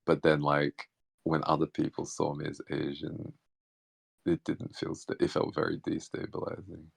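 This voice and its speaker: A man whose first language is Hakka Chinese talks reflectively in an online chat, pausing and restarting often. His voice is extremely deep and crackles with fry. The sound is dry and close. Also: monotone, no room sound. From Australia. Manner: halting, many fillers and restarts. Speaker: interview guest. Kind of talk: casual reflective conversation.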